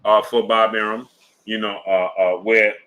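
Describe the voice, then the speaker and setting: Bright-voiced man hosting a boxing live stream. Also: fairly low pitch, flat delivery, a little slow, muffled recording. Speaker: sports show host. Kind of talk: live-stream boxing talk, greeting viewers in chat.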